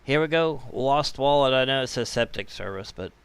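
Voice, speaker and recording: A man's voice: mid-range voice, lively intonation, moderate pace, clean tone, dry recording. A man hosting a live stream with casual commentary, informally muttering his way through things aloud.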